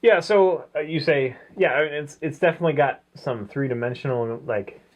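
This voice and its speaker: A man's voice: a little high, fairly quick. A man co-hosting a comics review show in a casual, conversational style. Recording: dull lo-fi sound.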